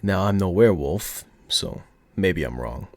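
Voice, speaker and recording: Low-voiced, cocky flirty mafia boss played by a man. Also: lively intonation, darkish tone, no echo. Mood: coy, playful. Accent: put-on mafia gangster accent. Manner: teasing, taunting, drawn-out seductive delivery. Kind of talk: ASMR audio roleplay acting.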